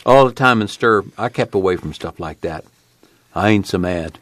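A man's voice: lowish voice, animated, normal speed, fairly bright tone, dry recording. A man voicing a shy, easygoing farm son back from prison, plainspoken, in an audiobook. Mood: quiet, earnest. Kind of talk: audiobook character acting.

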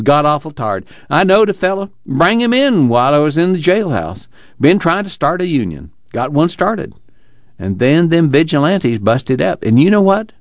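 A man voicing an earnest, excitable but weary labor organizer in an audiobook, pleading in a folksy dialect. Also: medium pitch, dry recording, dull audio, voice pushed up, close to an everyday voice. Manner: rural uneducated American dialect, pleading and preaching.

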